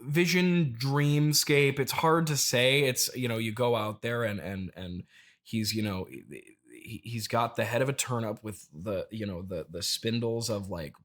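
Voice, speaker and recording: A man playing a grieving, mystical turnip farmer in an improvised comedy interview, rambling haltingly and earnestly. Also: mid-range voice, a bit bright, natural voice. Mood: dreamy, mystical.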